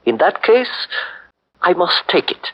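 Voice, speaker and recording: Brief: a cold, unyielding statesman, high-voiced and lively, 1940s radio drama, dull old broadcast sound. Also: takes it slowly, exaggerated voice. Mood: cold, firm. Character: male Japanese statesman, stern, hard-bargaining. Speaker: Marquis Ito.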